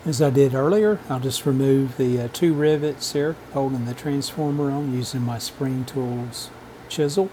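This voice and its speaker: A man narrating a vintage radio repair as a relaxed how-to voice-over, matter-of-fact and unhurried, with frequent "uh" pauses. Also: mid-range voice, slight background noise. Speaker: radio restoration hobbyist.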